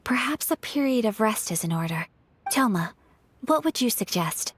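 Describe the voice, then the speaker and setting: An actress playing a refined young noblewoman in a video game, speaking formally, gently and with composure. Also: fairly high pitch, expressive, moderate pace, slight background noise, natural voice. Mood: composed.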